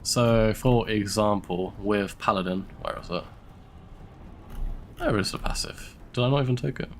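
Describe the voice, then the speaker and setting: Stuffy-voiced London streamer. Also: male voice, on the low side, normal speed, slight background noise. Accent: London English. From United Kingdom. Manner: chatty, laughs often, thinks aloud. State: stuffy from illness. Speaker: live streamer. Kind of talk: casual gameplay commentary.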